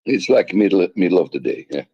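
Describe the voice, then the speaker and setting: A man's voice: low voice, lively intonation, breathy edge. Older man from Poland, lo-fi line. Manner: laughs often, halting. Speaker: guest. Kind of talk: casual chat. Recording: dull lo-fi call audio.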